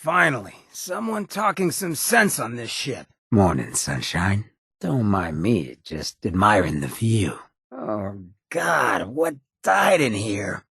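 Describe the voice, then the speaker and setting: A man voicing a swaggering, roguish mayor in a video game, a wry hedonist outlaw with a raspy, gravelly, drawling and sardonic delivery. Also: medium pitch, a bit bright, no echo, clean studio sound, exaggerated voice. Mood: confident, roguish, then wry, teasing. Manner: drawling, sardonic, streetwise slang. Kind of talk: video game character dialogue.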